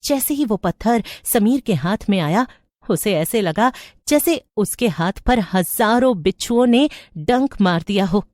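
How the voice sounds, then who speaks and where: A woman's voice: medium pitch, expressive, unhurried, a little mellow, dry recording, studio quality. A woman reading scripted narration for a horror story.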